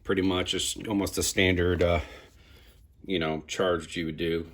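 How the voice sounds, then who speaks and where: A man's voice: sits low, level tone, moderate pace, a bit bright, a little hiss or hum. A man casually demonstrating and reviewing a product, explaining it step by step in an informal way.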